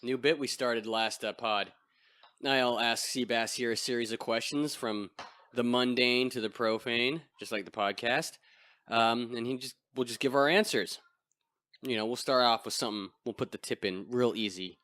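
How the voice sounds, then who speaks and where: A man with a deep voice hosting a casual podcast chat, crude and self-deprecating. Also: moderate pace, pushed and bright, no echo. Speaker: podcast host. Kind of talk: casual chat over beers.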